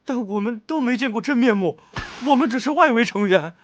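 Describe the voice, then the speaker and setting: A man's voice: very high voice, expressive, a little fast, dull audio, faint music behind. A man playing an indignant, corrupt military chief of staff in a motion comic, agitated and blustering. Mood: panicked surrender. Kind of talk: dramatic Chinese motion-comic voice acting.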